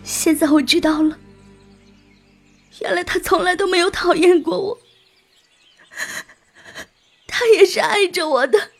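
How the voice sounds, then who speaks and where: A young elf maiden mourns in a high, delicate voice. She is heartbroken and lovelorn, speaking in fantasy audio drama style over faint music. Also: measured pace, pauses often. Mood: tearful, realizing. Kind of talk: Chinese audio drama acting.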